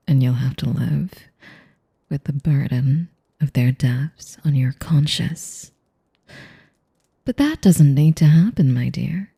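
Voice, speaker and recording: Ancient vampire mistress played by a woman: dark, velvety tone with creaky edges, sadistic and seductive, whispery taunts for an audio roleplay. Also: exaggerated voice. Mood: menacing, cold. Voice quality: low, breathy, often dropping to a whisper. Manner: slow, velvety taunting with condescending endearments. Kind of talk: audio roleplay addressed to the listener.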